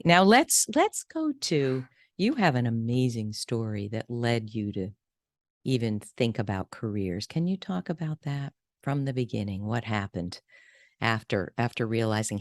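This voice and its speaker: Very low-voiced woman hosting, dry sound. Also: lively intonation, unhurried, dry recording. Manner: emotional, effusive reactions. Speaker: podcast host. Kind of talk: interview conversation.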